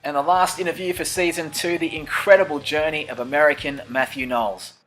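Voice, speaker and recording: Male host, upbeat announcer read, slightly higher voice. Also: fairly even intonation, brisk, fairly bright tone, rough recording. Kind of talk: recapping a season of interviews.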